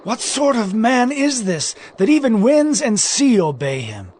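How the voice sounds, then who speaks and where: An awestruck disciple in an audio Bible drama. The voice is extremely high for a man and swoops widely in drawn-out amazement. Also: sing-song, darkish tone, full character voice. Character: awestruck male disciple marvelling at his master.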